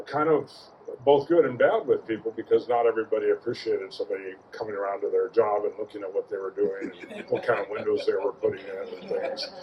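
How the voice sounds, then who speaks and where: A man giving an informal, anecdotal tribute at a memorial, speaking haltingly and repeating words. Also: on the low side. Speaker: memorial speaker, friend of the deceased. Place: outdoor garden gathering. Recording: dull lo-fi audio with clear noise.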